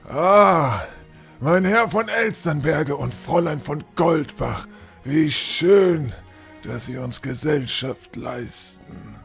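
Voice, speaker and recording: A man playing a menacing, condescending castle lord with halting false courtesy in a fantasy audio drama. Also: a little high, lively intonation, vocal fry, soft background music, full character voice. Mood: falsely hospitable. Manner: halting, drawling false courtesy with threatening undertone. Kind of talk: fan-made fantasy audio drama acting.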